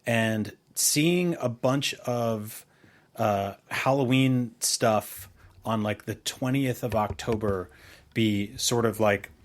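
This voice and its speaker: Casual male podcast co-host, middle-aged, mid-range voice, loose and meandering delivery. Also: mid-range voice. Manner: rambling, halting, full of colorful comparisons. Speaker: podcast co-host, video host and writer. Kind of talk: casual conversational podcast chat.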